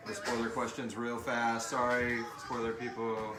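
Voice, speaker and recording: A man's voice: medium pitch, little pitch movement, unhurried, crowd noise. Man, informal joking host, live Q&A chat, roomy sound, distant mic. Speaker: show creator hosting a live audience question session. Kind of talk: casual group live Q&A chat. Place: live stream in a room with several people.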